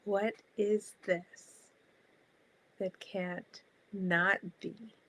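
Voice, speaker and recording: A woman guiding a meditation in a calm, soft, spacious manner, leaving long pauses between short phrases. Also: mid-range voice, poor audio. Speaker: meditation guide.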